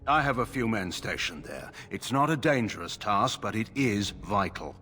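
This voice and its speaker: An actor playing a grim, distrustful older general in a video game, speaking in a stern, scornful manner. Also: male voice, lowish voice, expressive, normal speed, pushed and bright, soft background music.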